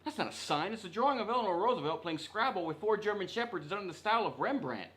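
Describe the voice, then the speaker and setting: Man as a high-voiced bumbling comedy host. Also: expressive, fast talker, a bit bright. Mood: bumbling, dazed. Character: bumbling, defensive male amateur podcast host. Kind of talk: comedy radio sketch.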